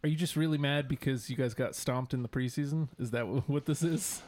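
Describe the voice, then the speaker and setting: A man's voice: medium pitch, fairly even intonation, fairly quick, a little mellow. A man co-hosting a podcast in casual chat, wry and teasing. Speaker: podcast co-host.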